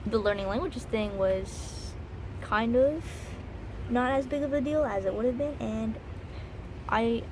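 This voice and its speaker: A woman's voice: a little high, measured pace. Young American woman, casual rambling vlog, noisy recording. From United States. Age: 20s. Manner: informal, rambling, self-aware. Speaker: study abroad student vlogger. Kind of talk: casual reflective chat to camera. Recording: clearly noisy recording with ambient background.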